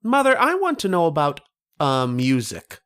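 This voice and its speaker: A man's voice: a little high, huge pitch swings, normal speed, a bit bright, smooth, no echo, studio quality. A man playing an earnest, hesitant ten-year-old boy in a radio drama, politely questioning his mother. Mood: shy, curious.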